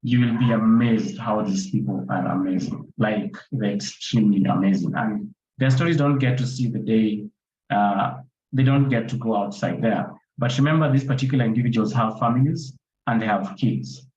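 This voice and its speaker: A man's voice: lowish voice, normal speed, darkish tone. A man with a Kenyan accent explaining his approach as a panelist, repeating points for emphasis and posing rhetorical questions. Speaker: conservation photographer and filmmaker, panelist. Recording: dull, roomy online-call audio.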